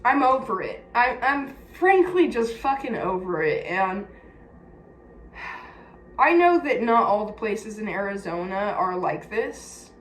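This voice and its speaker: A woman's voice: medium pitch, big pitch swings, slow pace. A woman with a Californian accent chatting candidly and casually to her viewers, confiding and blunt. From California, United States. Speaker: personal vlogger. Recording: echoey room with faint background noise.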